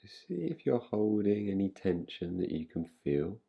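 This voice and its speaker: A man guiding a relaxation meditation in an unhurried, soothing way, giving gentle instructions with long pauses. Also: low voice, very animated, gravelly, slightly rough, dull audio. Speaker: meditation guide. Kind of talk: guided relaxation meditation, yoga nidra.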